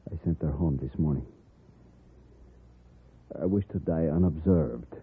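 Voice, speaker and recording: Very deep, husky dying nobleman. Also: heavily acted. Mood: solemn. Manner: formal, solemn, deliberate wording. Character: dignified, stoic dying Mexican nobleman. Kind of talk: 1950s radio western acting. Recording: muffled, rough old radio recording.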